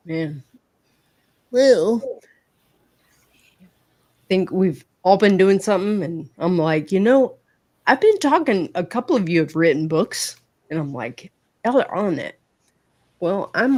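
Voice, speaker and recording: Lively woman in her forties, animated, voices her own inner talk. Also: medium pitch. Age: 40s. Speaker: morning show host on a panel. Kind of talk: casual panel chat.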